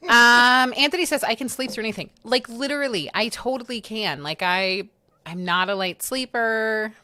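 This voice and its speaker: A woman's voice: fairly high pitch, normal speed, pushed and bright, dry recording. A woman chatting on a livestream, telling stories in a bubbly way with lots of fillers. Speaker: livestream co-host. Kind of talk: casual livestream chat.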